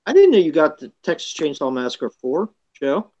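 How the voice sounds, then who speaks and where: A man's voice: fairly high pitch, huge pitch swings, moderate pace, a little mellow, dry recording, muffled recording. A man in a casual group chat who is eager and pushes his friends for answers.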